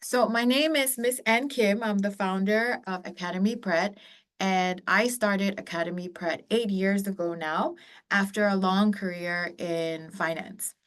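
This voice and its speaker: A woman in her forties, born in Korea and raised across Asia, founder of an education company, presenting a webinar to students in an earnest, encouraging way, pausing often with um and uh. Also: mid-range voice. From born in Korea, raised across East and Southeast Asia.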